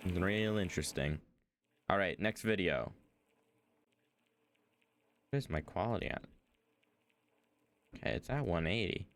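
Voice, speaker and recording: A man's voice: low voice, animated, a little slow, pauses often. A young man streaming as he reacts to a video, talking casually, rambling and hesitant, with lots of fillers.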